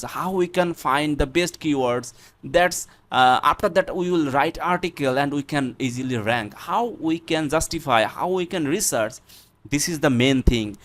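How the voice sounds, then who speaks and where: Slow, halting Bangladeshi man giving a tutorial. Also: a little high. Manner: halting, repetitive, step-by-step explaining. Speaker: tutorial presenter. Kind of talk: unscripted screen-recorded tutorial.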